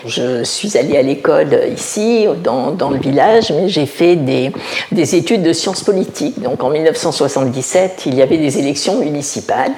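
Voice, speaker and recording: An older woman from Alsace, a village mayor, answering interview questions in a measured, explanatory way, often pausing to find her words. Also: sits low, lively intonation, warm tone, slight background noise. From Alsace, France. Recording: roomy echo.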